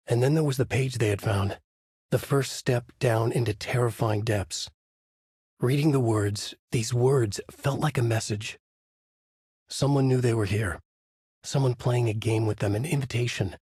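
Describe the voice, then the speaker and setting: An actor playing a haunted male horror writer who narrates in a measured, brooding way in a dry studio booth. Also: medium pitch, darkish tone, husky, no room sound. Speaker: video game character narrating. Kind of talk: game voice lines, dark narration. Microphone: studio mic.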